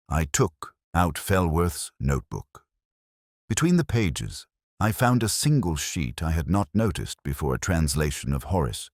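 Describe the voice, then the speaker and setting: Very deep-voiced man narrating a detective story. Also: no room sound, studio quality. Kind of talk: first-person scripted detective drama narration.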